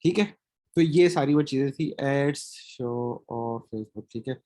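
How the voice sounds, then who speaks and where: A man's voice: mid-range voice, slow, dark tone, dry recording. A man from Pakistan with a sore throat, giving a recorded lecture as a marketing trainer, often checking in with his listeners. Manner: explanatory, checks in with "theek hai?" often.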